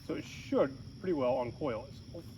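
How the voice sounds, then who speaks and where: Man, medium pitch, casual how-to chat, dry teasing manner, outdoors, faint background insect noise. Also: sing-song, normal speed. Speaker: amateur radio hobbyist hosting a homestead vlog. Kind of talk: casual chat and narrated how-to while working. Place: outdoors at a rural camp in woods.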